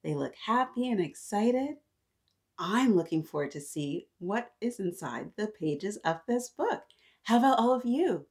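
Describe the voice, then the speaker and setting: A woman in her thirties reading aloud to young children, animated and encouraging, often addressing them directly with questions. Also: mid-range voice, measured pace. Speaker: children's story-time host. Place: small decorated indoor room.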